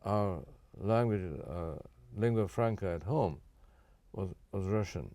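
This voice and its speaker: An older man born in Japan, answering interview questions in a measured, careful way with frequent fillers and restarts. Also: lowish voice, dark tone, no echo. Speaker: guest.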